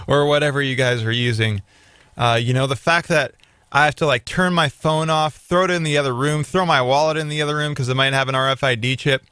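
A man's voice: mid-range voice, bright, forceful tone, clean tone, no echo, clean studio sound. A man hosting a talk radio show, chatting loosely with many fillers and restarts.

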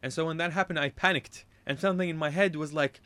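A man's voice: fairly high pitch, fast, sharp tone, clear, resonant tone, no room sound. A man from Iraq, a radio show guest, telling a casual anecdote in a rambling way, often correcting himself and laughing at his own story.

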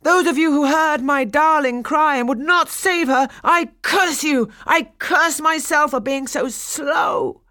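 A woman voicing a gentle, passionate young male shepherd in a read-aloud storybook, moving from tender whispers to anguished cries. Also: sits low, moderate pace, vocal fry, husky, dry recording, studio quality, exaggerated voice. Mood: anguished outcry.

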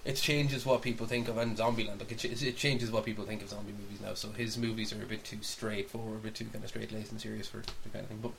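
A man's voice: on the low side, level tone, fast, few pauses, a bit bright, poor audio. A man co-hosting a podcast, casually discussing films and games in a rambling, opinionated way as he thinks aloud. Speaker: podcast co-host. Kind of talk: casual film and game discussion. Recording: clear background hiss.

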